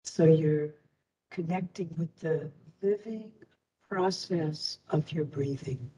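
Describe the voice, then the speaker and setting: Very slow, low-pitched woman guiding meditation. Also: mellow. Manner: soothing, deliberate, with long pauses. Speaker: meditation and yoga teacher. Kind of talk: guided meditation. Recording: distant microphone.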